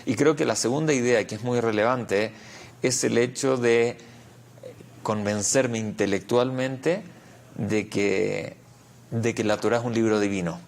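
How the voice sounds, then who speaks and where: A man's voice: mid-range voice, fairly even intonation, unhurried, gravelly. A man with a Chilean accent, a rabbi answering interview questions reflectively, explaining and repeating words as he finds his phrasing.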